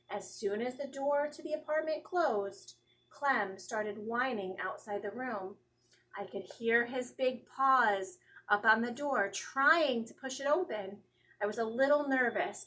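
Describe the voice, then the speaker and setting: A woman in her thirties reads a children's storybook aloud in a fairly high voice. She tells it expressively, as a teacher would, and puts feeling into the characters' lines. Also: rough recording. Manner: animated, acting out the dialogue. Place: small classroom, indoors. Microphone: phone or tablet camera at about arm's length.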